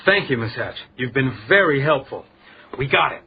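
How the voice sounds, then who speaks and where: An actor playing an earnest, level-headed police detective in a radio drama, dogged and probing. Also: male voice, medium pitch, expressive, normal speed, lightly acted. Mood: urgent, probing. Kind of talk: radio drama acting with narration. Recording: dull, noisy radio drama recording.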